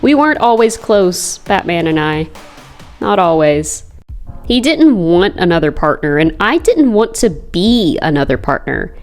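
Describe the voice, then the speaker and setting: A woman's voice: fairly low pitch, sing-song, unhurried. A woman performs an earnest young comic-book superheroine, anxious but wry. She takes her time over each line of dramatic inner monologue, with light music playing underneath. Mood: reflective, pained. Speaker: comic dub voice actor and narrator.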